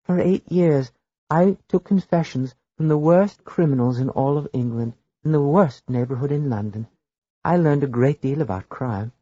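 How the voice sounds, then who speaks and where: A man voicing a small, mild old priest in a story reading, speaking gently, politely and knowingly. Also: on the high side, moderate pace, no echo, pitched above the performer's own voice, lightly acted. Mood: gentle. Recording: dull lo-fi dry recording.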